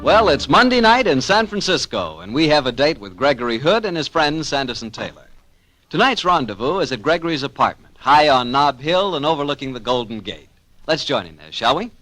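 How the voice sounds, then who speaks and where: A man with an American accent hosting a 1940s radio show, pitching the sponsor in an emphatic, folksy way. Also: very animated, a little fast, sharp tone. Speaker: radio announcer and host.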